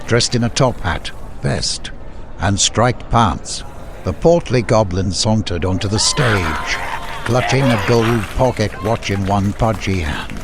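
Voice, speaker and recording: A man's voice: on the low side, expressive, slow, vocal fry, background noise. A man reading scripted fantasy narration as an audiobook storyteller.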